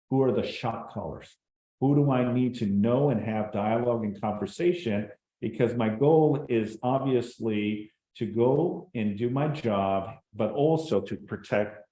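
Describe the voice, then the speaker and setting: A man who works as a real estate broker, giving an energetic short talk to an audience and often turning to them with "right?" and "folks.". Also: medium pitch. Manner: animated, addresses the crowd with "folks" and "right?".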